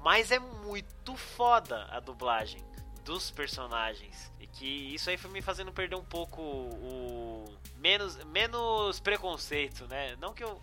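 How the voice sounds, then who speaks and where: A man with a Brazilian Portuguese accent speaks with a very bright, sharp tone and a slightly raised pitch. He sounds keen and slangy in casual talk, with faint music in the background. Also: sing-song, unhurried, hardly any pauses. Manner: enthusiastic, uses slang like mano and cara. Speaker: gaming podcast co-host. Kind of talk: casual chat.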